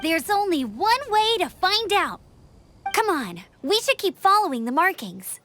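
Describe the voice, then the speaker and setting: Confident young girl scout, high lively voice, game music under it. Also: slight background noise, full character voice. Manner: brisk, self-assured. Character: eager young female scout, upbeat and confident. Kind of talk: game voice acting. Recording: game audio with music behind.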